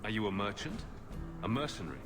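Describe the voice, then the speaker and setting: A flirtatious, joking hero in a fantasy game. The voice is low with expressive swings, delivering quips in a cheeky way. Music is clearly audible behind him. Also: male voice, fast. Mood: curious. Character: wisecracking, flirtatious male adventurer hero. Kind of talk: video game dialogue acting. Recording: game audio with music underneath.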